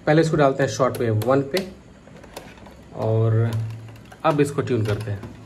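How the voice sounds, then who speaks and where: A man's voice: medium pitch, normal speed, a little mellow, slight background noise. A man casually narrating a hands-on product test as a hobbyist vlogger.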